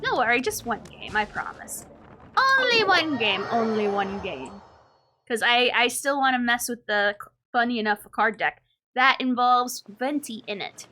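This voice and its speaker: A woman's voice: fairly high pitch, expressive, measured pace. A woman giving casual, playful live game stream commentary, often repeating her phrases. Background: game music.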